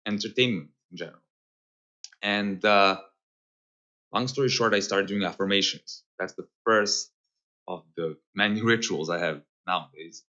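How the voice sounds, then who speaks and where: Male, 30, Serbian accent. Bright, low-pitched voice, reflective podcast guest who pauses often. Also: dull audio. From Serbia, Novi Sad. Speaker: podcast guest, holistic guide. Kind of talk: casual conversational interview.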